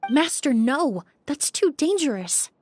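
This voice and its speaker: A woman's voice: high voice, very animated, unhurried, darkish tone. An actress playing a composed, protective, cautious young woman in a video game, earnest and poised. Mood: alarmed, concerned. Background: faint music.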